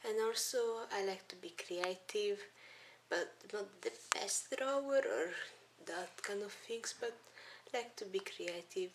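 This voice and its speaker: Teen girl, Italian accent, hesitant webcam vlogger, lowish voice, faint room hiss. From Italy. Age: teens. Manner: hesitant, many ums, soft-spoken. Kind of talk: casual intro vlog to webcam. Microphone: webcam at arm's length.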